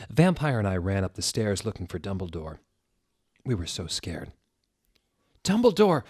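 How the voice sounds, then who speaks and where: A man's voice: sits low, sing-song, normal speed, dry recording, clean studio sound. A man hosting a comedic dramatic reading, theatrical in delivery and dropping amused, exasperated asides.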